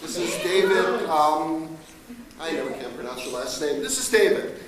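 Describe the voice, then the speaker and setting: A man with a slightly high voice gives an informal, halting introduction as host of a live show. He is recorded from a distance in a very echoey room with clear background noise. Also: sing-song. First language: English. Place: live event in university room.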